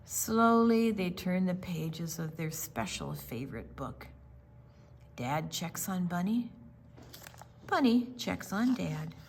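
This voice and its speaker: A woman reading a picture book aloud to young children, gentle, with dramatic pauses and drawn-out words. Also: on the low side, lively intonation, slight background noise. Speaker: storytime reader.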